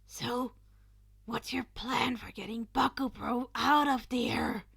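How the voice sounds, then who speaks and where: A woman's voice: a little high, steady tone, slow pace, creaky, fairly bright tone, breathy edge, no echo, exaggerated voice. Woman playing a chummy, loyal teenage boy hero. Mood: curious. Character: loyal, easygoing teenage boy hero using buddy nicknames.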